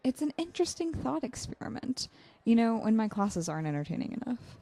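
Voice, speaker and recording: A woman's voice: on the high side, sing-song, a little fast, warm tone. A young woman playing a cerebral, slightly awkward student in an audio drama, analytical in the way she talks. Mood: curious, analytical. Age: young adult.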